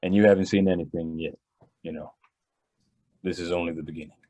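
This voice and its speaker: An actor playing a breezy, callous young heir with a jaunty, superior manner in an old-time radio drama, in slightly muffled sound. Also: male voice, low voice, fairly even intonation, brisk, mellow, a little hiss or hum, close to an everyday voice. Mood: breezy, amused.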